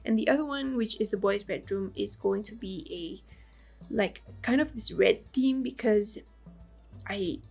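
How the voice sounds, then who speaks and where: Hoarse woman with the flu, raspy and gravelly, chatty game voice-over, faint music behind. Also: medium pitch, a little mellow. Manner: rambling, lots of fillers and small laughs. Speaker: game builder narrating a build. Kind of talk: casual voice-over commentary.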